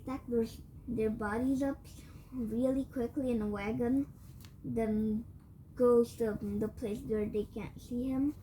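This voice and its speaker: A woman with a tiring, cracking voice tells an improvised fan story, rambling, correcting herself and clearing her throat often. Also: a little high, steady tone, slow, dark tone, a little hiss or hum, poor audio. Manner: rambling, with frequent self-corrections. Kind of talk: improvised fan-fiction retelling.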